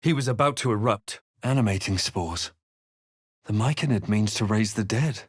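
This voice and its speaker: Brooding, low-voiced fantasy adventurer. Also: male voice, moderate pace, breathy edge, dry recording, clean studio sound. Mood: brooding, watchful. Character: brooding male fantasy adventurer narrating his own quest. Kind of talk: dramatic video game voice lines.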